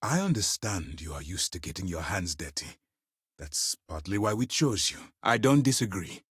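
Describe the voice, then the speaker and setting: A stern space navy commander for a video game, with a low voice that moves expressively through a wide range. He speaks formally and with firm authority, and the recording is close and dry. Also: very animated, normal speed, fairly bright tone, slightly rough, dry recording, exaggerated voice. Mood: measured, businesslike. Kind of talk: acted game dialogue.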